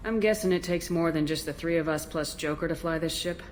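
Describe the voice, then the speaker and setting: A woman's voice: deep voice, brisk, a little mellow, soft background music, close to an everyday voice. A woman playing a serious, direct military starship commander in a video game, blunt and businesslike. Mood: serious, inquiring. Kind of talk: scripted video game dialogue. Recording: clear background noise, ship ambience.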